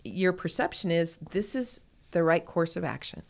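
Lower-pitched woman in casual podcast talk. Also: big pitch swings, moderate pace, vocal fry. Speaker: podcast co-host.

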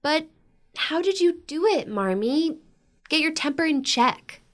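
A woman's voice: high-pitched, lively intonation, a little slow, a bit bright. A woman playing a blunt, dramatic, protective teenage big sister in an audio drama, with emphatic delivery. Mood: curious. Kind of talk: audio drama acting, naturalistic dialogue.

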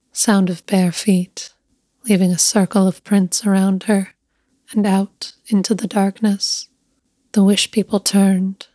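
A woman narrates a literary tale with slow, deliberate phrasing. Her delivery is hushed and stays within a narrow, even range of pitch. The recording is dry, with almost no room around the voice. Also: no echo. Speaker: story narrator. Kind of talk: scripted literary story narration.

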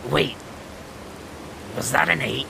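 An actor in a fan-dub audio drama voicing a sardonic, sharp-tongued alien woman anxious about a friend, with a raspy voice. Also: medium pitch, slow pace, background noise. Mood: relieved, wry.

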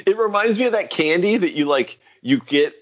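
Man, podcast co-host, casual, swears, acts out quotes, husky, dull lo-fi audio. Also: high voice, fairly quick. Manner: animated, rambling, swears often, acts out quotes. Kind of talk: casual chat.

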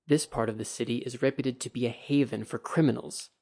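A man's voice: mid-range voice, steady tone, fairly quick, a little mellow, lightly acted. An impatient samurai speaks in clipped, firm demands, slightly raised, as a man reads his lines. He is proud and tired of being talked down to.

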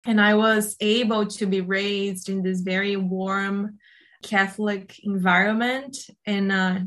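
A Brazilian woman answers questions as a podcast guest, talking earnestly about her life with small pauses and restarts. Her voice is of middle pitch. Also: fairly even intonation, fairly bright tone. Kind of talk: conversational interview.

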